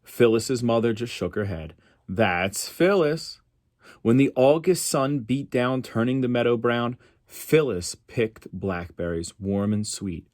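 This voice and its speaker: A man's voice: medium pitch, unhurried, darkish tone, dry recording, clean studio sound. A man reading a picture book aloud expressively, as a storyteller for schoolchildren.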